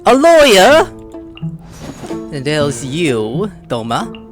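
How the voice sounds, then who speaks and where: A gaming host with an extremely animated voice that leaps from low mutters to high squeals. The delivery is slangy, exclamatory and playful, fairly unhurried overall, as casual gameplay commentary. Game music plays clearly behind the voice.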